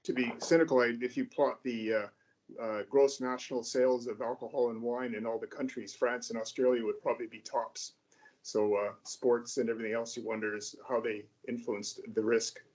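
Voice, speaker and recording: A Canadian man speaking up on a video call, mid-pitched and hesitant at first. He then presses his point with a firm, skeptical edge. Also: level tone, moderate pace, darkish tone. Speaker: webinar attendee asking a question.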